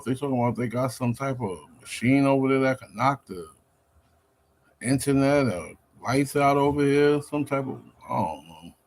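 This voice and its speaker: A man's voice: medium pitch, darkish tone. A man about fifty, rambling on a live stream, weary and venting with plenty of swearing. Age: 50s. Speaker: livestreamer.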